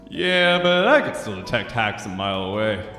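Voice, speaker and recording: A man's voice: fairly low pitch, sing-song, moderate pace, pushed and bright, soft background music, heavily acted. A man doing a cocky sarcastic teen boy. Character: cocky blind teenage boy Fox.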